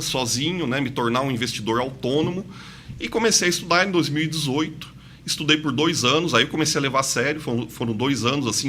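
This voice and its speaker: A man's voice: fairly high pitch, sharp tone, a little hiss or hum. A man of 46 from inland São Paulo with a mixed São Paulo and Santa Catarina accent, telling his story as a podcast guest. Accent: mixed São Paulo and Santa Catarina Brazilian. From interior of São Paulo state, Brazil. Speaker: podcast guest, investment advisor. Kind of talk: conversational interview, personal storytelling.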